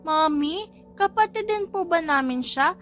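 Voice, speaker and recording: A woman voicing a nosy, excitable little boy with a childlike, exclamatory manner in an audiobook story. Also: very high-pitched, measured pace, soft background music, voice pushed up, heavily acted. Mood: curious. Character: bossy, curious little boys, excitable quadruplet brothers. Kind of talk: audiobook character voice in a family romance story.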